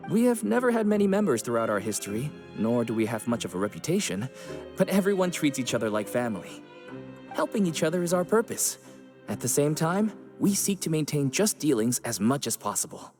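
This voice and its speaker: A friendly inmate in a video game. The voice is earnest and explanatory, lively in pitch, with faint music underneath. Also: male voice, fairly quick. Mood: earnest, proud. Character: friendly, earnest prison inmate recruiting for a mutual aid group. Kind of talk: video game character voice acting.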